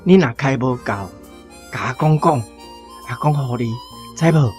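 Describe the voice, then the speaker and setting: Actor as homely, doting old Taiwanese grandpa. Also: male voice, mid-range voice, moderate pace, a little mellow, faint music behind, lightly acted. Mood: tender concern. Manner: homely, caring, fussing. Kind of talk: radio drama acting.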